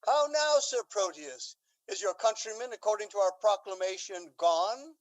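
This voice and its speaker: A woman's voice: mid-range voice, expressive, moderate pace, close to an everyday voice, low-quality recording. A woman playing a scheming, pompous older Duke in a stately, theatrical Shakespearean radio drama. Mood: stately, confiding.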